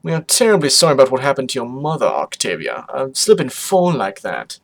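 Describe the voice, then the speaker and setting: A man's voice: medium pitch, wildly swooping pitch, fairly quick, vocal fry, heavily acted. A man voicing a suave, urbane vampire pony father with a genteel, teasing manner in a story reading. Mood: genteel apology.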